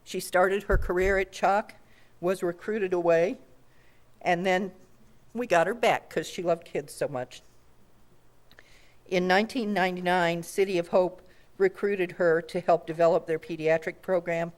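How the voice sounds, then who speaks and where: A woman hosting a conference panel, reading prepared introductions in a measured, formal way. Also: fairly low pitch, steady tone. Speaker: event host introducing panelists. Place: conference room.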